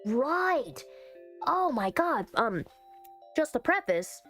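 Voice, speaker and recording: Female game streamer, chatty and expressive, higher voice with lively swings, casual let's-play commentary. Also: slow pace. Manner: chatty, expressive, thinking out loud. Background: background music.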